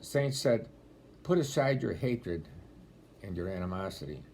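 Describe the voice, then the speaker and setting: A man in his eighties with a deep voice, speaking as a deacon in a homily-style talk, telling stories with gentle dry humor, filmed on a laptop webcam at home. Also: expressive, measured pace, a little hiss or hum. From Rochester, New York area. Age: 80s. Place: home room, indoors. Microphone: laptop webcam at close range.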